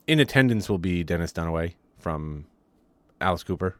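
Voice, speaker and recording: A man's voice: sits low, big pitch swings, normal speed, vocal fry, no echo. A man co-hosting a casual movie podcast, talking bluntly with swearing and self-mocking jokes. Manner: blunt, profane, joking. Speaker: podcast co-host.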